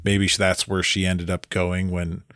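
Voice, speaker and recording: A man's voice: low voice, completely flat delivery, moderate pace, a bit bright, no room sound, clean studio sound. A man co-hosting a casual podcast chat, goofy and joking, often giggling. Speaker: podcast co-host.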